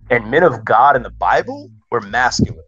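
A man with a forceful, bright voice talks as a podcast guest over music. He is animated and slangy, making his points emphatically in a casual conversational style and peppering them with "bro". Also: mid-range voice, moderate pace, husky, lo-fi sound. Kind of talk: casual conversational opinion talk.

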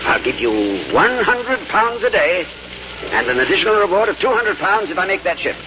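An actor playing a formal, unflappable English gentleman traveller in a 1950s radio drama, with exact, clipped speech. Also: high-pitched, lively intonation, a little fast, background noise. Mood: brisk, unruffled. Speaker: lead actor and narrator. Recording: dull old radio recording with music.

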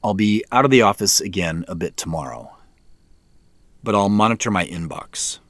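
Tired office boss dictating texts, deep voice, slow, super dry close recording. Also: male voice, animated, fairly bright tone, no echo. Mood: businesslike. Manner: measured, pausing dictation. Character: tired businessman dictating text messages to his phone. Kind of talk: naturalistic audio drama acting.

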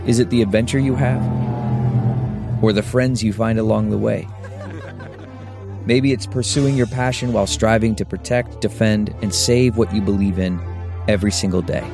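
A man narrates a scripted advert. His voice is fairly low and creaky, with music clearly playing behind it. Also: mellow.

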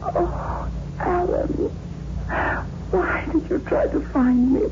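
A high-voiced young woman in a vintage radio horror play, secretive and fierce, pleading with urgent melodrama. The sound is tinny and narrow, like an old broadcast. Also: lively intonation, slow pace, background noise, exaggerated voice. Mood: desperate, urgent.

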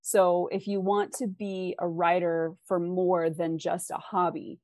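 A woman with a slightly low voice talks as an interview guest, recorded close and dry. She gives advice in a candid, encouraging way, leaning on key words for emphasis. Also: flat delivery, a little slow, darkish tone, no echo. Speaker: interview guest, author and freelance writer. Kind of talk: conversational interview, giving advice.

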